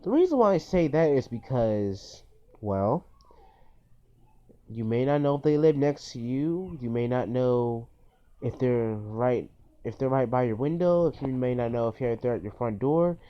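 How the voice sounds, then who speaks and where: A man's voice: medium pitch, animated, unhurried. A man giving informal list-style advice as a video host, in an earnest, cautionary manner with repetitive phrasing. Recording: dull, lo-fi phone recording.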